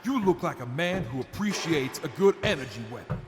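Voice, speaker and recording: A man playing a proud, showy master armorer in an audio drama, talking like an assured, flattering salesman. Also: on the high side, brisk, over music, natural voice. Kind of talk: post-apocalyptic audio drama acting. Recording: long hall reverb, faint background noise.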